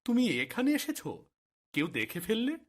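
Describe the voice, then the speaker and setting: A man playing a husky, timid young cowherd. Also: high-pitched, wildly swooping pitch, normal speed, full character voice. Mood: startled, anxious. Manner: deferential, nervous. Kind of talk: Bengali cartoon story acting.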